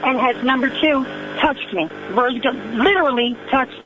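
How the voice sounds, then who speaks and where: A woman playing a brash, indignant, flirty complainer on a prank phone call, rambling, pushy and quick to interrupt. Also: high voice, background noise. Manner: rambling, interrupting, pushy, calls listener sweetheart. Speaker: prank caller. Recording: dull lo-fi phone line.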